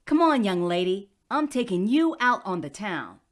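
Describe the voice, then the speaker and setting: A woman's voice: medium pitch, sing-song, moderate pace, natural voice. A woman playing a bold, playful former rocker who bosses and teases her friend, in an audio drama. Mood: playfully bossy. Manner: bossy, teasing, exuberant. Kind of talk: sci-fi romance audio drama.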